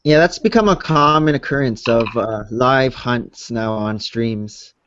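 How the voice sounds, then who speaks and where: A man's voice: medium pitch, measured pace, fairly bright tone, slight background noise. A man from the Philippines in easygoing live stream banter as a co-host. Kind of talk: casual chat. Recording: dry lo-fi online call audio.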